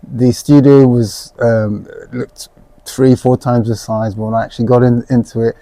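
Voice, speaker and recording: Mellow, hesitant man reminiscing. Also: mid-range voice, flat delivery, no room sound. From born in Dominica, raised in England from age four. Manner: hesitant, halting delivery with repeated words. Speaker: local resident interviewee. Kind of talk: personal reminiscence in an interview.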